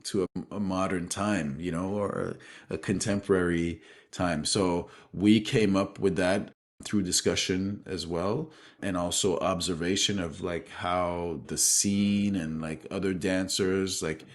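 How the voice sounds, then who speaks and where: A man from Benin speaking reflectively in an interview as a guest, thoughtful and halting, often adding "you know". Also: deep voice, steady tone. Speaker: guest, street dancer and choreographer.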